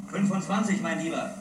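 An actor playing a greedy, scornful criminal accomplice in a radio crime play, demanding and contemptuous, heard on a distant noisy recording. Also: male voice, high-pitched. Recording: distant, echoey, noisy playback.

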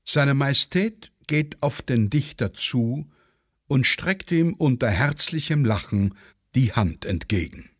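A man narrating a radio play, reading stage directions in a measured, deliberate way. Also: medium pitch, animated. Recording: dry studio sound with faint music.

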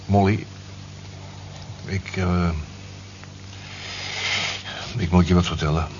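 Deep, sheepish gambler man. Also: steady tone, a little mellow. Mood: hesitant. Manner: hesitant, halting. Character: Bob, sheepish, apologetic gambler who never has money. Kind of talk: Dutch radio drama acting. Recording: muffled, lightly noisy old radio recording.

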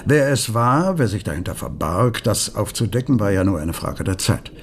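A man's voice: lowish voice, sing-song, normal speed, slight background noise. An actor playing a wry, officious male police investigator in a radio crime drama, explaining step by step. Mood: measured.

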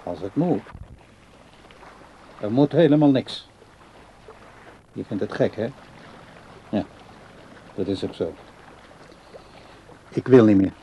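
A man's voice: on the low side, lively intonation, slow pace, long pauses, dull audio. An actor in a Dutch radio play playing an ageing man who is tender yet stubborn and easily fed up. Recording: radio play recording with background noise.

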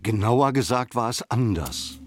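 A man's voice: mid-range voice, animated, a little slow, heavy background noise, close to an everyday voice. A man playing a precise, insistent co-narrator in a scripted radio drama. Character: precise, knowing co-narrator man correcting the account.

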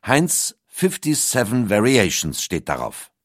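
A man's voice: on the low side, huge pitch swings, a little slow, dry recording, studio quality. A man reading a scripted documentary audiobook as its narrator. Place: dry recording studio.

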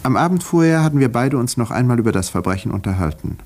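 An actor playing a composed, educated doctor in a 1950s German radio mystery, speaking in a measured, dry and precise way. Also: male voice, mid-range voice, expressive, a little mellow. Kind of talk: 1950s German radio drama narration and dialogue.

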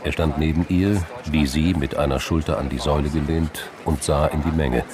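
A man's voice: very deep voice, steady tone, moderate pace, a crowd in the background. A man narrating a crime drama in scripted, literary prose. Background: music.

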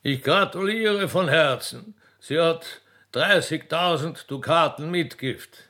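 A man's voice: fairly high pitch, slow pace, sharp tone, voice pushed up. A man voicing a genial, easily flustered Austrian emperor in a satirical radio play, ceremonious and stumbling, with an Austrian accent. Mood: gracious. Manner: ceremonious, stumbling, repeating himself.

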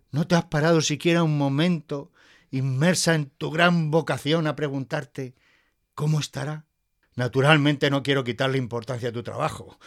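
A man performs a lovelorn schoolteacher in an audio drama, his voice on the high side. He is nervous and hesitant, and wounded reproach runs through his impassioned delivery. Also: close to an everyday voice. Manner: hesitant, stammering, turning impassioned. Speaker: actor.

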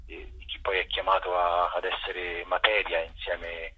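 A man with a low, very husky, breathy voice talks as a theatre director being interviewed on radio. He feels his way through sentences, pausing and rephrasing. The line is dull and muffled, like a phone call. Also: level tone. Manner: halting, searching for words, self-correcting.

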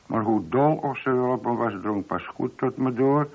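A man's voice: medium pitch, normal speed, creaky, breathy edge. A man playing a chatty police sergeant in a radio drama, matter-of-fact and slightly cheeky as he reports. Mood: reporting. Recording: dull, muffled old radio drama recording.

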